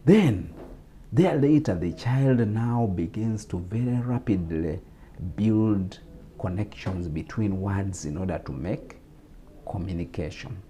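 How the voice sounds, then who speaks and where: Deep-voiced Ugandan man explaining. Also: animated, warm tone, slight background noise. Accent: Ugandan English. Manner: measured, explanatory, with repetitions and fillers. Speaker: guest speaker on religious talk show. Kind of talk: explanatory talk show discussion.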